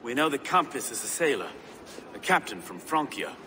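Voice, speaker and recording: Young male soldier: earnest, blunt, mid-pitched and animated, medieval game cutscene. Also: moderate pace. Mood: scornful. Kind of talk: video game cutscene acting. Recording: noisy game ambience behind voice.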